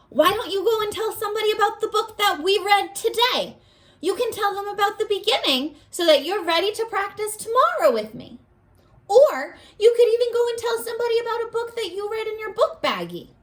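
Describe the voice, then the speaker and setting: A woman teacher leading a read-aloud lesson for young children, guiding them with simple questions and encouragement. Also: sits high. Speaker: elementary school teacher.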